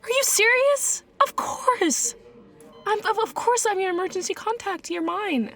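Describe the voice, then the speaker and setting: Casting: devoted, fretful female friend, high voice, unhurried delivery, emotional and caring, fiction podcast. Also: expressive, a bit bright, faint music behind, heavily acted. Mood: hurt, worried. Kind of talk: naturalistic audio drama acting.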